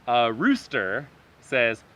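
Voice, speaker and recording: A man hosting a lively group chat, playful, laughing often and imitating animal sounds. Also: mid-range voice, extremely slow. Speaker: host.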